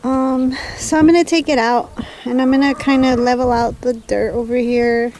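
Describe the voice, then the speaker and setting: A woman's voice: a little high, fairly even intonation, moderate pace, darkish tone. A woman with a scratchy, allergy-affected voice, chatting casually and thinking aloud like a home vlogger at her chores. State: scratchy voice and throat clearing from allergies. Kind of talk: casual chat while doing backyard chores.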